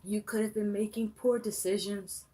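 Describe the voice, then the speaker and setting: A woman giving a tarot reading for her viewers, listing phrase after phrase in a slow, measured way. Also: medium pitch, fairly even intonation, dark tone. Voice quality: voice rough and breaking, coughing. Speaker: tarot reader.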